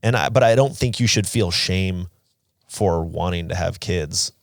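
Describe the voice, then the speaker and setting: A man's voice: low voice, a little slow, no echo, clean studio sound. A man in his thirties co-hosting a podcast, talking casually and giving opinions with plenty of "like" thrown in. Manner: conversational, opinionated, peppered with "like". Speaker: podcast co-host.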